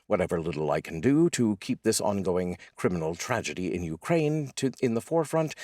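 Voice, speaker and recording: Male poetry host and voice actor, very low husky register, wry and deliberate, recorded close and dry. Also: a little mellow, no room sound. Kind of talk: informal spoken intro and poetry reading.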